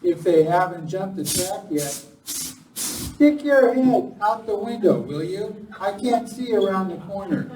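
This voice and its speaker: A practical, worried western railroad man with a high voice acted by a man. The amateur radio play is heard from a little way off in an echoey hall. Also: expressive, a little slow, a little mellow. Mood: anxious, urgent. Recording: echoey hall, distant mic, noisy.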